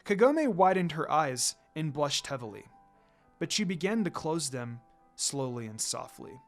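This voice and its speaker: A man reading a fan fiction story aloud in an expressive narrating style. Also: a little high, unhurried. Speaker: fan fiction reader, channel host. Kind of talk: expressive scripted story narration with casual asides. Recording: music under the voice. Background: music.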